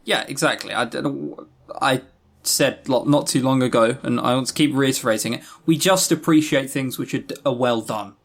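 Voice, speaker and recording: A man's voice: medium pitch, normal speed, gravelly. Man chatting casually on a podcast. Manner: rambling, opinionated, swears casually. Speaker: podcast co-host. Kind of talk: casual chat, game review.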